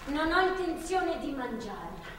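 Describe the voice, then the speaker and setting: A woman's voice: sits high, big pitch swings, slow pace, fairly bright tone, full character voice. An actress playing a frightened, angry captive woman in a stage drama, pleading and demanding insistently. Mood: cold defiance. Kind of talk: theatrical stage drama acting. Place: theatre stage, picked up from afar. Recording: echoey hall, distant mic, faint noise.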